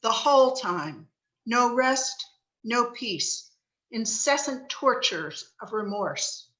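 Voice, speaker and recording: A woman's voice: a little high, expressive, slow pace, a bit bright. A woman voicing the tormented, mournful ghost of a dead miser, in a solemn, declamatory amateur reading of a Victorian story. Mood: weary, tormented.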